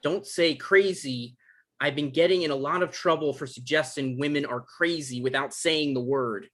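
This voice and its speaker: A man's voice: fairly high pitch, normal speed, fairly bright tone, natural voice. A man acting an anxious, easily flustered novelist in a conversational comedy script reading. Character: anxious, defensive male novelist in his thirties.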